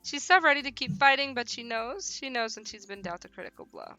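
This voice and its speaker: Bright, very animated woman game streamer. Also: fairly high pitch, a little fast, soft background music. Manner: giggly, playful, swearing. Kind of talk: casual live let's-play chat and read-aloud.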